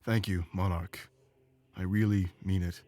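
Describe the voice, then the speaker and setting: A man voicing an ancient, weary, remorseful animal companion in a visual novel game, speaking in an earnest, measured, confessional way. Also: deep voice, flat delivery, a little mellow. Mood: grateful, earnest.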